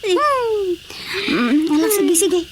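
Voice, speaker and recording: Lively, swooping, nosy comic friend. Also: female voice, very high voice, takes it slowly, background noise, full character voice. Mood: excited. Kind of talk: Filipino comedy radio drama acting.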